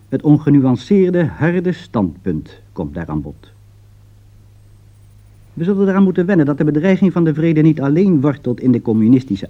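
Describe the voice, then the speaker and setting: A man's voice: medium pitch, mellow. A man with a Netherlands Dutch accent reads a scripted radio commentary in a formal, earnest, rhetorical way. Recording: dull vintage 1960s radio recording.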